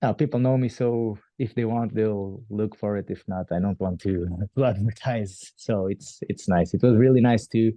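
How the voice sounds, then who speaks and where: Portuguese man, 40s, low mellow voice, dry close recording, thoughtful halting interview guest. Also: dry recording. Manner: thoughtful, halting, many restarts and fillers. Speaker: athlete guest. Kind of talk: interview answers.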